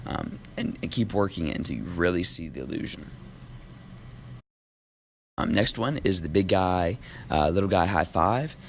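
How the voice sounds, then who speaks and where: A very low-voiced man with a gravelly edge, teaching a class while his voice is going. He talks loosely and casually, filling pauses with ums. A faint hiss of noise sits behind him. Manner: relaxed, casual with filler ums. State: losing his voice. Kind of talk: recorded classroom lesson.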